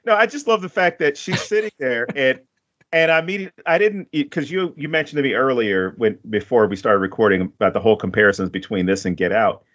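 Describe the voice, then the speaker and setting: A man's voice: mid-range voice, animated, brisk, a bit bright, highs cut off. A man hosting a podcast, chatting casually about a film in a rambling way and laughing often. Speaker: podcast host.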